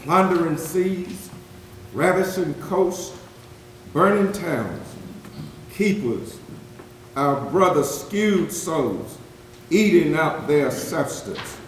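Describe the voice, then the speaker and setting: A man performing a solemn poet-witness who mourns the horrors of slavery, reciting dramatic verse in a declamatory, deliberate way with weighted pauses. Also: on the high side, lively intonation. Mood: solemn, bitter. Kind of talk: dramatic spoken-word poetry recitation, readers theatre. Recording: very echoey hall, distant mic, faint noise.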